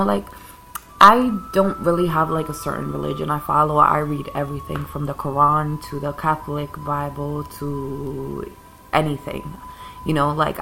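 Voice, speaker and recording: Low gravelly woman ranting casually. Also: low-quality recording. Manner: rambling, ranting, self-described calming voice; occasional coughs while smoking. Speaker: podcast host. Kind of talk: casual spiritual monologue.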